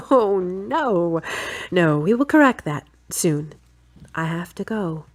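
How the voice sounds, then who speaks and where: A woman's voice: on the low side, very animated, slow pace, exaggerated voice. A woman playing a busy, plain-spoken working woman in an amateur audio drama. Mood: friendly.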